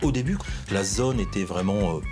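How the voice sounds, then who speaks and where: Guy in his 40s, low voice going up and down, halting, guitar music under. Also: expressive. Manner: hesitant, halting with fillers. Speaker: hair transplant patient. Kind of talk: documentary interview.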